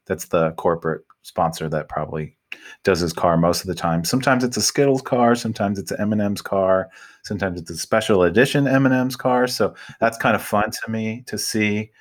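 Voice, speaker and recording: A man hosting a podcast, talking in a relaxed, conversational way and steering the topics. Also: fairly low pitch, fairly quick. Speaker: podcast host. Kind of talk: casual chat.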